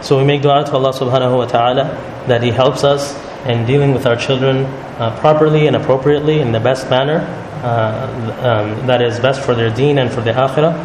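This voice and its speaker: A man leading a religious study circle, explaining calmly and often checking in with "right?". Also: medium pitch, a little fast, hardly any pauses. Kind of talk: religious talk to a group. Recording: echoey hall with noise.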